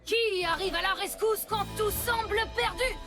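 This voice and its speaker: A woman voicing a young girl motorbike who hams up a ninja villain in a French children's cartoon. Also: very high voice. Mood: mock menacing. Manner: hammy, drawn-out mock menace. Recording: loud music and crowd behind.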